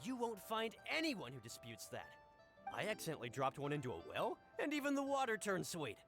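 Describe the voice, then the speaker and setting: A man's voice: high-pitched, huge pitch swings, fairly quick, full character voice. A man voicing a pushy fruit merchant in a video game who brags and talks persuasively to close a sale, with game music behind. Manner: boastful, persuasive sales talk. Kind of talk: game voice acting.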